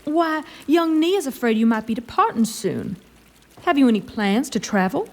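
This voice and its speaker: A woman's voice: high-pitched, big pitch swings, normal speed. A woman playing an imperious, scheming lord commander in a fantasy audio drama, formal and silkily condescending. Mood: sweetly menacing.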